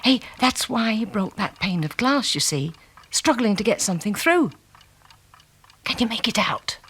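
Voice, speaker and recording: Shrewish British wife, husky, animated, nosy and bossy, urgent nagging, vintage radio play. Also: female voice, mid-range voice, measured pace. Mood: nosy, excited. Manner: sharp-tongued, insistent, urgent. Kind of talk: 1970s radio drama acting.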